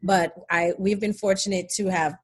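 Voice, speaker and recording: A middle-aged woman who grew up in Nigeria speaks as an interview guest. Her voice sits at a medium pitch. She tells stories in a casual, conversational way. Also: fairly even intonation, normal speed, husky. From Nigeria, born in England.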